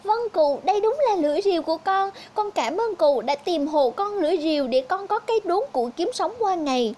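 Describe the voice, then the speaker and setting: A woman's voice: very high-pitched, lively intonation, brisk, mellow, slight background noise, pitched above the performer's own voice. A woman voicing a poor, honest young woodcutter in a fairy tale, speaking politely and earnestly. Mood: joyful, grateful.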